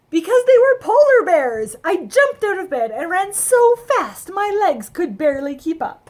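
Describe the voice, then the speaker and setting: A woman's voice: extremely high pitch, pitched above the performer's own voice. A woman voicing a cheerful, naive little male snowman who chats wide-eyed through his adventure in a children's storybook. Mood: excited. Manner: wide-eyed, chatty, animated. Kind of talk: children's storybook read-aloud.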